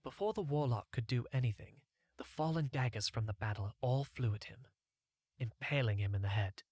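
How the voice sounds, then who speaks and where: Man narrating, husky voice, very dry sound. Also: medium pitch, normal speed, a little mellow, no echo. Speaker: audiobook narrator. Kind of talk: scripted first-person story narration.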